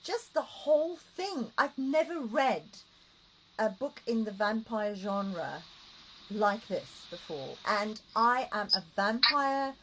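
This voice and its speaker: A woman's voice: fairly high pitch, lively intonation, slow, a bit bright, a little hiss or hum, poor audio. A woman hosting an interview in English, which is not her first language. She is enthusiastic, laughs easily and often adds listening sounds. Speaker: interviewer, host. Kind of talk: casual interview.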